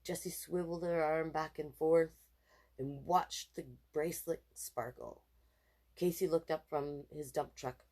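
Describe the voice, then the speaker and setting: A woman reading a children's picture book aloud in an expressive, animated way, with casual asides. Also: deep voice, a little slow. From Canada. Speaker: storytime reader.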